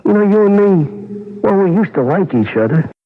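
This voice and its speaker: An actor in a radio crime drama playing a pleading, wheedling, slangy safecracker. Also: male voice, sits high, big pitch swings, normal speed. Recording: tinny old radio, faint noise.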